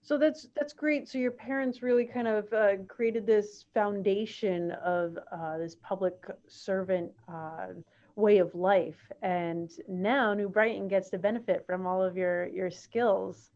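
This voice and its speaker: A woman's voice: mid-range voice, steady tone, unhurried, a little mellow. A woman hosting an interview podcast, asking questions in an encouraging way with some fillers. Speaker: podcast host. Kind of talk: interviewing a guest. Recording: muffled lo-fi audio.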